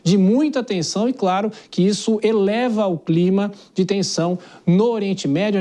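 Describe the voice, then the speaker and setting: A man's voice: high voice, warm tone. A man with a Brazilian accent presenting live news as an anchor in a TV studio.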